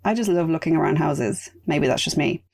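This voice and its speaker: A woman hosting a casual solo podcast, chatty and rambling, her voice going raspy. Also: low voice, lively intonation, brisk, darkish tone. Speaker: podcast host.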